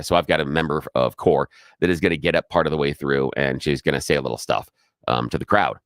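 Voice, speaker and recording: A middle-aged man with a big, projecting voice that sits very low, co-hosting a radio talk show. He tells stories at a brisk clip and does the voices of the people he quotes. The studio sound is dry, with no room around it. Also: no echo. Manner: animated storyteller who acts out quoted voices. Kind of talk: casual on-air chat and storytelling.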